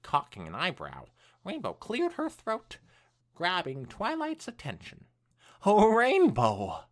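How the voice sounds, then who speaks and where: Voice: mid-range voice, huge pitch swings, a little slow, a bit bright. A podcast co-host reading fan fiction aloud as narrator, with casual asides. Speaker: podcast co-host and story reader.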